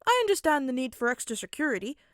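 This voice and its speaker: A woman's voice: sits high, big pitch swings, fast, no echo, clean studio sound, pitched above the performer's own voice. A woman voicing a polite, cooperative male hero in an earnest, placating way for a story reading. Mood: reassuring. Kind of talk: podfic character dialogue.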